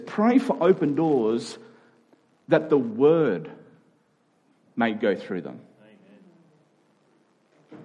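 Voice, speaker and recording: A man preaches, with a medium-pitched voice that has a slightly rough, husky edge. He delivers a sermon, circling back on key phrases to drive them home and checking in with short rhetorical questions. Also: mellow, rough recording.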